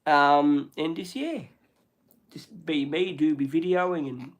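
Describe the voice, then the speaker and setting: A man's voice: a little high, slow pace. Blunt, chatty Australian man. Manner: blunt and chatty, swears casually. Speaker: livestream host. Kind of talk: casual chat on a livestream. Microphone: phone or camera on a livestream.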